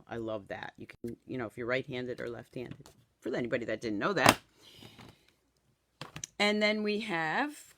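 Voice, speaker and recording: A woman in her fifties, hoarse and raspy from a cold, chatting through a live craft demo and greeting viewers by name in a home craft room. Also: extremely low pitch, fairly bright tone, slight background noise. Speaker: craft product demonstrator. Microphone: camera in front, then overhead camera.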